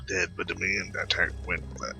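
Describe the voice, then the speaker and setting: Male gaming host: deep voice, casual, halting and repetitive commentary, music clearly behind. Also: steady tone, pushed and bright. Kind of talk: casual game playthrough commentary.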